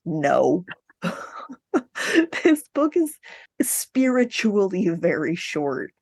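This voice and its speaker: A woman's voice: fairly low pitch, sing-song, extremely slow, gravelly, a little mellow, dry recording. A woman co-hosting a podcast, chatting casually about books in a playful, emphatic way. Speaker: podcast co-host discussing books. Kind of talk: casual book chat.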